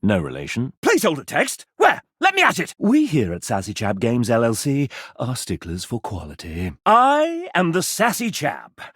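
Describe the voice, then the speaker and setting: A man voicing a sassy, pompous gentleman mascot in a video game, with a British accent and a theatrical, flamboyant delivery. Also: mid-range voice, huge pitch swings, normal speed, husky, no room sound, clean studio sound, exaggerated voice. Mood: grandly welcoming.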